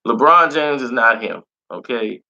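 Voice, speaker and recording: A man with a raspy voice, a little hoarse as he recovers from being sick, giving loose, slangy sports opinions in a casual chat. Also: mid-range voice, animated, measured pace, sharp tone. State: recovering from illness, slightly hoarse. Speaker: sports commentary vlogger. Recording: dull lo-fi sound.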